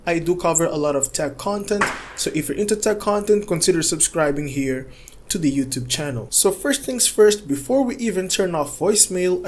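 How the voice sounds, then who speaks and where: A man with a slightly high voice guides viewers through a tech tutorial. He talks casually and step by step, in a reassuring, instructional manner.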